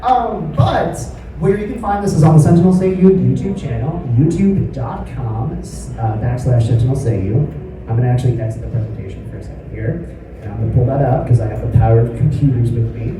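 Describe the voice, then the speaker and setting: A man's voice: mid-range voice, a little fast, over music. A man speaking as a voice actor at a convention panel, answering questions in a rambling, self-deprecating, apologetic way. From United States. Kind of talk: casual panel Q&A. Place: large convention panel room. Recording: echoey hall, distant mic, room noise.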